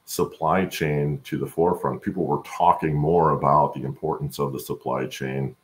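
Super deep gravelly guy on a video call explaining stuff, thinking out loud. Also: fairly even intonation, moderate pace. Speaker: guest, supply chain expert. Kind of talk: conversational interview answers. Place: home room, on a video call.

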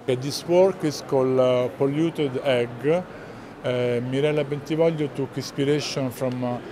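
A middle-aged man with an Italian accent explaining artworks to visitors, pausing often to search for words. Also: mid-range voice, fairly even intonation. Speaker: art gallerist presenting works. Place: large indoor art fair hall. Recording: busy hall crowd noise.

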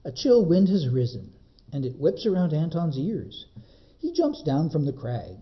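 A man reads a children's story aloud like a librarian at story time. His pitch moves up and down a lot, with dramatic, measured phrasing. The sound is a little muffled. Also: wildly swooping pitch. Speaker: librarian reading a children's book. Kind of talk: expressive storybook narration.

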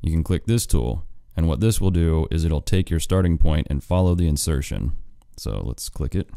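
An American man, an audio engineer, giving a screen-recorded software tutorial in a plain step-by-step way. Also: extremely low pitch, lively intonation, moderate pace, gravelly.

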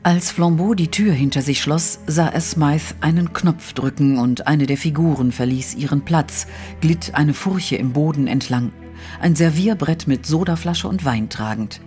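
A man narrating an audio drama from a literary script in a measured storytelling manner. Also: fairly high pitch, darkish tone. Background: music.

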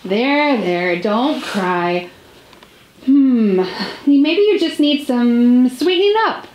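A woman's voice: high-pitched, lively intonation, slow, dark tone, slight background noise. A woman voicing a sly male fox with a sweet tooth, teasing and drawling, in an echoey room. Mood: soothing.

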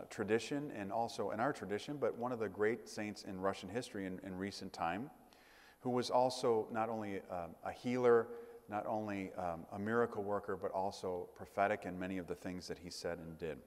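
A middle-aged man, a priest, giving a conversational sermon in a church, often pausing with fillers and restarting phrases. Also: fairly low pitch. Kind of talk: sermon to a congregation. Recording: long church reverb.

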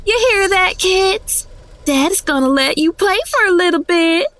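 A woman's voice: extremely high pitch, unhurried, fairly bright tone, dry recording. A woman in an audio drama playing a breezy, teasing young family's mother. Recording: faint ambient noise.

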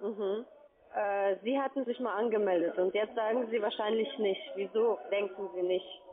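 A woman making a telephone sales pitch over a narrow phone line. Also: moderate pace, crowd noise.

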